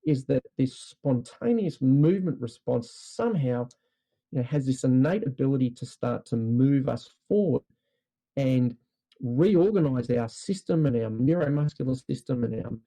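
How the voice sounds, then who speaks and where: Gravelly dark-voiced Australian man. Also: medium pitch, expressive, moderate pace, low-quality recording. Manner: earnest, thoughtful explaining with frequent "you know". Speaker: podcast guest, trauma release practitioner. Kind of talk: reflective podcast conversation.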